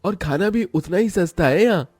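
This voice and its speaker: A man's voice: high voice, expressive, moderate pace, a little mellow, breathy edge, no echo, clean studio sound, faint music behind. Man playing a hearty chatty eatery customer. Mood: appreciative. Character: hungry, appreciative male roadside eatery customer. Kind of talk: animated cartoon story acting.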